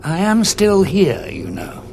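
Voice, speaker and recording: A man's voice: high voice, huge pitch swings, slow, creaky, a little mellow, slight background noise, full character voice. An older man acting a sardonic, imperious renegade time traveller in a science fiction audio drama, with a dry, scornful manner. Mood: cold, sardonic. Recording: dramatic music behind dialogue.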